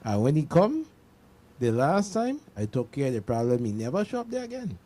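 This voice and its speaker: Mellow Caribbean man, emphatic talker. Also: mid-range voice, wildly swooping pitch, brisk, slight background noise. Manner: emphatic, repeats himself, checks listeners understand. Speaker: panel discussion participant. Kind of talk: casual panel talk with personal anecdotes.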